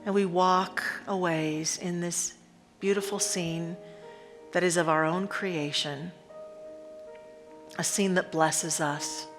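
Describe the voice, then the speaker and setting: Woman doing a guided meditation, lowish voice, slow reverent phrasing w pauses, faint music behind her. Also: steady tone. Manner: reverent, unhurried, with deliberate pauses between short phrases. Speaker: spiritual leader guiding a meditation. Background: instrumental music.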